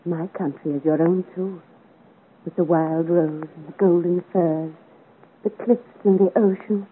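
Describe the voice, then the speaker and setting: A woman's voice: fairly low pitch, fairly even intonation, unhurried, phone-quality sound, close to an everyday voice. An actress playing a proud, devoted young noblewoman in a 1950s radio melodrama, speaking earnestly in formal, theatrical period style. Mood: wistful. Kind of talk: 1950 radio serial romantic melodrama acting. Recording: thin, noisy old 1950s radio recording.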